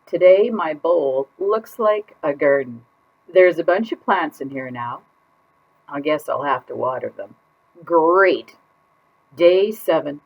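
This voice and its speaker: Woman reading as dramatic put-upon goldfish, very animated. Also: on the low side, full character voice. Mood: weary, deadpan. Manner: exaggerated, exasperated, drawn-out emphasis. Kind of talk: expressive children's picture-book read-aloud. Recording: dull lo-fi sound.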